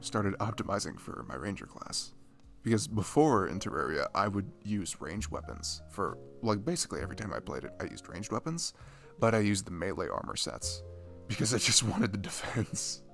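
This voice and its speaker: A man's voice: on the low side, gravelly. A man playing a shy, dorky best friend in an ASMR roleplay, chatting casually in a rambling, stammering, nerdy way. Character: dorky shy young male best friend, playful gamer.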